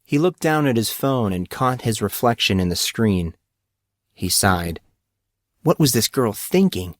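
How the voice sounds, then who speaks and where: A man's voice: fairly low pitch, animated, a little slow, dry recording, clean studio sound. A man reading a story aloud as scripted audiobook narration.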